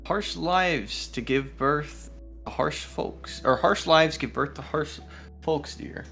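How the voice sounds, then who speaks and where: A man's voice: on the high side, animated, slow pace, gravelly, bright, forceful tone, faint music behind, full character voice. A man voicing a concerned, weary, motherly village woman with a folksy, musing manner in a story game.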